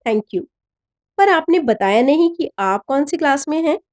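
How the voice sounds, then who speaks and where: A woman's voice: high-pitched, animated, unhurried, a little mellow, voice pushed up. A woman voicing an emotional, principled teenage schoolgirl in a story reading. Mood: shy, polite.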